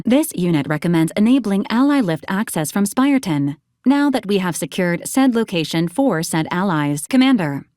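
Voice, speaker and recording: A woman acts out a female robot companion from a video game, polite and utterly literal. Her delivery is formal, measured and deadpan, at a medium pitch. The recording is close and dry. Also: dry recording, lightly acted. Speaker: robot assistant advising a commander. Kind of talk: scripted video game character lines.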